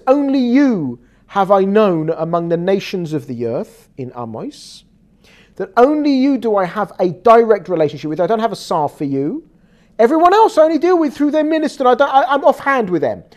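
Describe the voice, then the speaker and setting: A woman with an English accent giving a lively, conversational religious lecture, acting out quoted lines as she explains. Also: on the high side. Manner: animated, conversational teaching with quoted dialogue. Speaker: Torah teacher.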